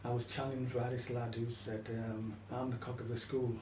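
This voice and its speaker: A man's voice: medium pitch, level tone, normal speed, darkish tone. An older man telling personal stories in an interview, in a reflective, slightly hesitant manner. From Caribbean, then England from childhood. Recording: echoey room, distant mic, faint noise.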